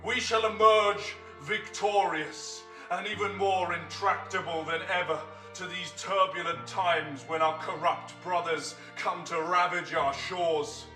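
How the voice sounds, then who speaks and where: A man playing a proud, defiant high elf warrior giving a noble, solemn fantasy oration. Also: fairly even intonation, unhurried, hardly any pauses, bright, forceful tone. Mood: solemn, resolute. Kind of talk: dramatic fantasy speech. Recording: roomy, distant voice over music.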